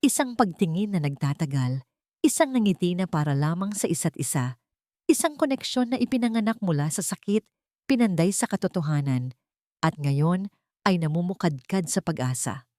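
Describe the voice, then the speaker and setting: Female storyteller for romantic audio drama: slightly low, highly expressive pitch, measured emotive phrasing. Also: dry recording, clean studio sound. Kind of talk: dramatic scripted storytelling narration of a love story.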